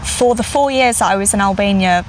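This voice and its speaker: A woman talking as an interview guest in a friendly, relaxed conversational way. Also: mid-range voice, brisk, slight background noise, rough recording. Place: outdoors in a garden.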